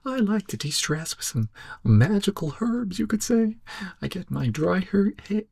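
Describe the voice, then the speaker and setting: Casting: male performer as goofy, timid young stoner detective for ASMR roleplay; high-set cracking drawl, mellow and close, dry sound. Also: sing-song, a little slow, vocal fry, slightly rough, no echo, full character voice. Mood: hazy, apologetic. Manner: rambling, hesitant stoner drawl, tagging sentences with "man". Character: laid-back, cowardly, goofy young male amateur sleuth. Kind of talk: soft-spoken ASMR roleplay.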